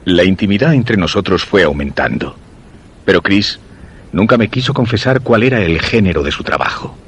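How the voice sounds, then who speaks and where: An actor with a Castilian Spanish accent playing a curious, insistent husband who recounts a mysterious romance in measured, literary radio-play style. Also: male voice, deep voice, expressive, fairly bright tone, slight background noise. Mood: measured, reflective.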